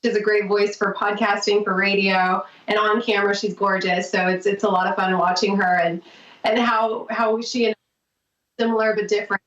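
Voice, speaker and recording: A woman's voice: medium pitch, level tone, normal speed, a bit bright. Gushing woman on distant, echoey audio. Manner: gushing, grateful, talkative. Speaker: podcast guest, podcaster. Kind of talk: casual interview chat. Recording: distant, roomy, muffled remote audio.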